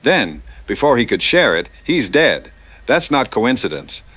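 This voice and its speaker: A man's voice: mid-range voice, very animated, a little slow, a bit bright, slightly rough, dry recording, exaggerated voice. A man playing a combative, insistent populist talk show host in a news debate drama. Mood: accusing, defiant. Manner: insistent, confrontational.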